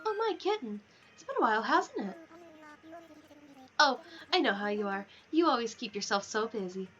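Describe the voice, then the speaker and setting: A woman voicing a self-admiring, affectionate animal villager from a cozy video game, dramatic and drawn-out in delivery. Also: a little high, animated, a bit bright, lo-fi sound. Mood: sweetly affectionate. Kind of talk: reading game dialogue in character.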